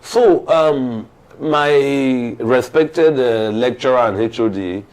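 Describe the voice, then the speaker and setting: A man with a Nigerian accent giving conversational commentary as a talk show host, with formal, deliberate phrasing. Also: medium pitch, a little mellow, rough recording.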